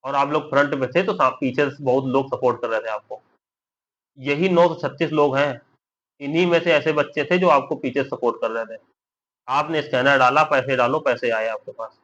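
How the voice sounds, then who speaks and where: A man's voice: medium pitch, steady tone, moderate pace, a bit bright. A young man giving informal commentary straight to his audience, repeating points and often checking that listeners follow. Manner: repetitive, with frequent check-in tag questions. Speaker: exam-guidance video creator. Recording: dull lo-fi audio.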